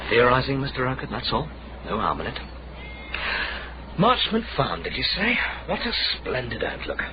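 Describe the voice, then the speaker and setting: An actor playing a smooth, probing gentleman with scholarly pretensions in a radio drama. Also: mid-range voice, huge pitch swings, normal speed. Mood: suave, probing. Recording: muffled radio drama, clear noise.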